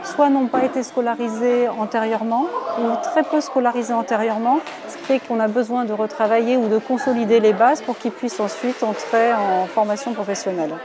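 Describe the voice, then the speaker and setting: A woman's voice: a little high, steady tone, fairly quick. A woman education official giving a formal explanation in an interview, recorded in an echoey room. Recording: echoey room with faint noise.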